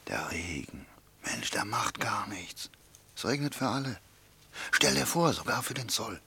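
An actor playing a wary, irritable escaped war prisoner in a radio play, rough-voiced, colloquial and sarcastic. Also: male voice, on the low side, animated, a little slow, bright, forceful tone, exaggerated voice. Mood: dismissive, confident.

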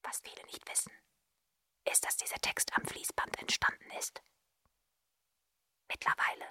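A woman playing an insinuating assembly-line writer in a stage drama, whispering breathily and explaining in a deliberate, matter-of-fact way. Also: pushed and bright, no room sound, natural voice. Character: insinuating female assembly-line script writer, unmasking the system. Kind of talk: whispered stage monologue in German.

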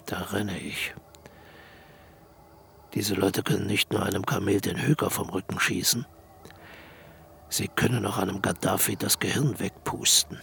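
An actor playing a haunted aging dictator in a radio play, brooding and slipping into whispers. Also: male voice, low-pitched, steady tone, measured pace, pauses often, fairly bright tone, slight background noise, full character voice. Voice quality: gravelly, creaky voice. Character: aging male dictator, haunted, recalling his own death. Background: music.

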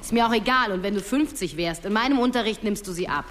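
An actress playing a strict, weary schoolteacher who talks in a dry, sarcastic and firm way. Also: female voice, mid-range voice, quick pace, a bit bright, a little hiss or hum, lightly acted. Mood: stern, sarcastic. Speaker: teacher. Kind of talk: radio drama acting.

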